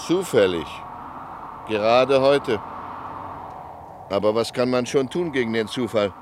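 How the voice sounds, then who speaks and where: An actor in a radio play as a reserved, cryptic local man, formal and measured in short phrases. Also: medium pitch, lively intonation, close to an everyday voice. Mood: cryptic, ominous. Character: reserved, cryptic male townsman, formal and ominous. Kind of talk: German radio play acting. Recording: outdoor street ambience noise.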